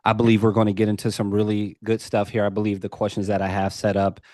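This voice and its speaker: A male podcast host with a lowish voice opens the conversation with eager, repetitive enthusiasm. He fills his talk with casual asides and repeated words, and the recording is dry and close. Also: monotone, fast talker, a little mellow, dry recording. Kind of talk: casual interview.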